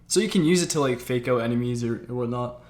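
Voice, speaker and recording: A man's voice: medium pitch, quick pace. A man hosting a casual gaming stream chat, talking in a laid-back, informal way and often repeating himself. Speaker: streamer, host.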